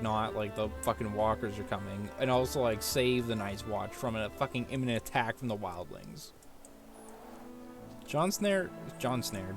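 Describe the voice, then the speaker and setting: Male game streamer, loose rambling chat, swears freely, music clearly behind. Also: mid-range voice.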